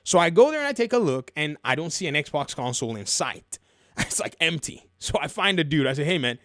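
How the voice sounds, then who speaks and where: A man with a high voice that swings widely in pitch, recorded dry and close. He talks like a commentary host, casual and opinionated, leaning on repeated phrases to drive points home. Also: sing-song, fairly quick, fairly bright tone, no echo. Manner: emphatic, repeats phrases for effect, colloquial. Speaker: video game commentary host.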